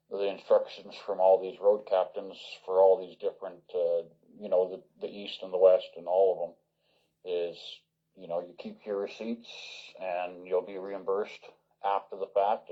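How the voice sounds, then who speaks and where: Husky low-voiced man on CB radio. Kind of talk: casual radio chatter. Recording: dull lo-fi CB radio, phone-recorded.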